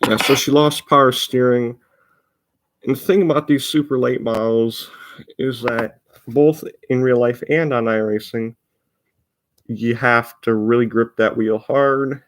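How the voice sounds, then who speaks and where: A man's voice: medium pitch, fairly even intonation, unhurried. A fourteen-year-old boy with a Midwestern accent, casually rambling about racing while showing replays. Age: teens. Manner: rambling, self-interrupting, chatty. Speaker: racing video host. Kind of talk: casual commentary over race replays.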